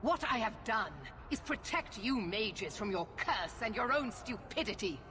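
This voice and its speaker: An actress playing a stern, zealous middle-aged knight commander in a fantasy game, speaking with formal, commanding severity. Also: female voice, a little high, expressive, unhurried, pushed and bright, a little hiss or hum. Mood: fervent, resolute. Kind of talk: dramatic fantasy game acting.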